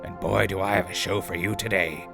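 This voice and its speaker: A man's voice: low-pitched, steady tone, brisk, creaky, over music. A man playing a wry, cheeky wasteland radio host with folksy, mock-gracious announcer patter. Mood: upbeat. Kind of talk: scripted in-character radio broadcast.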